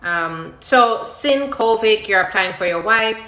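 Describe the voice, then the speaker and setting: A woman's voice: mid-range voice, lively intonation, slow, sharp tone. A woman attorney hosting a live question-and-answer session, reading out viewer questions and explaining the answers step by step in a conversational way. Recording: roomy, echoing live-stream sound.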